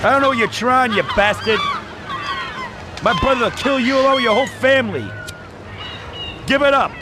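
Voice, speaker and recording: A man's voice: very high-pitched, moderate pace. An actor playing a cocky, hot-tempered male gangster who taunts and threatens his pursuer in a video game. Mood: taunting, angry. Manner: taunting, shouting, contemptuous. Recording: noisy, vehicle sounds behind the voice.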